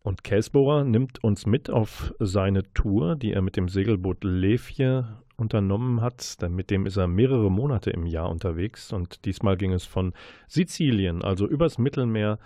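A man's voice: lowish voice, moderate pace, dark tone, dry recording, clean studio sound. A man hosting a radio book show, presenting and interviewing in long, elaborately worded sentences.

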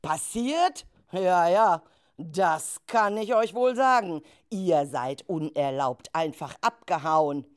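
A woman's voice: on the low side, lively intonation, slow. A woman playing a worried, stern children's group leader in a children's radio play, with emphatic, exasperated delivery. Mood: angry, worried.

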